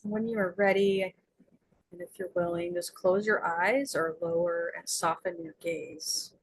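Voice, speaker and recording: A woman leading a guided meditation as its guide, speaking in gentle, soothing invitations with long pauses. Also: lowish voice, fairly even intonation, breathy edge, low-quality recording.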